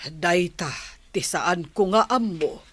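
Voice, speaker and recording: A woman's voice: on the low side, slow pace, noisy background. A woman playing an elderly, protective grandmother and head of a household in a radio drama. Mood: worried, reflective. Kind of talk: Ilocano radio drama acting.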